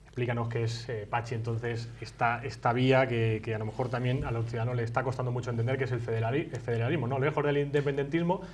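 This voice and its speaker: A man interviewing a politician for local television, who frames his questions slowly, with many hesitations and restarts. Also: mid-range voice, steady tone, darkish tone, a little hiss or hum.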